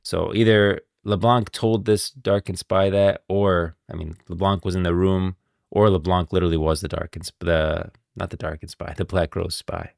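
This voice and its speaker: A man's voice: low-pitched, flat delivery, moderate pace, creaky, no room sound. A man giving casual commentary on video game lore as a streamer, recorded close and dry.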